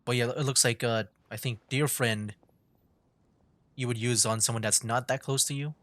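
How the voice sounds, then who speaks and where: A man giving casual game commentary as a streamer, thinking aloud in a halting, musing way. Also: mid-range voice, steady tone, fairly bright tone. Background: game music.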